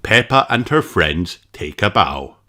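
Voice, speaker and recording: A man reading a children's story aloud as narrator, in a measured, animated way. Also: sits low.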